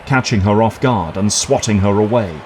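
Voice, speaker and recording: A man's voice: lowish voice, normal speed, noisy background. A man reading scripted, dramatic scene narration for an audio drama.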